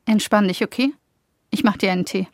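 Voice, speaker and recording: A woman's voice: medium pitch, brisk, dry recording, studio quality, natural voice. An actress playing the narrator's mother as a young woman in a radio drama, speaking bluntly in short, pleading lines.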